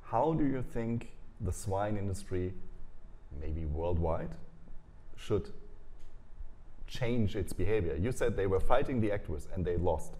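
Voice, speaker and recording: A man in his forties hosting a studio interview, asking calm questions and murmuring along as his guest answers. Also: low voice, a little slow, pauses often. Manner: calm, questioning, with frequent soft backchannel murmurs. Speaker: host. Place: small indoor studio.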